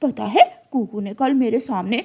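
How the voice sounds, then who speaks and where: Meddling female crow acted by a woman for a kids' cartoon: high-pitched, scheming, slyly insinuating. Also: normal speed, dark tone, slightly rough. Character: sly, meddling female crow auntie, mischief-maker.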